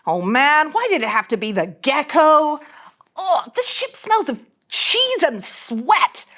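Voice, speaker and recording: A woman's voice: high-pitched, very animated, slow pace, fairly bright tone, exaggerated voice. A woman playing a sarcastic, teasing young starship ensign in a comic sci-fi table read, with slangy delivery. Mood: disgusted griping.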